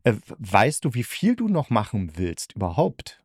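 A man interviewing in a casual, conversational way, putting his questions a little haltingly. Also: lowish voice, huge pitch swings, a little mellow, slightly rough, no room sound, studio quality.

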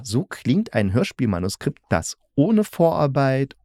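A man's voice: on the low side, a little mellow, no room sound, clean studio sound. A man hosting a podcast review, opinionated and emphatic, with colloquial, sarcastic phrasing. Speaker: podcast host and audio drama critic.

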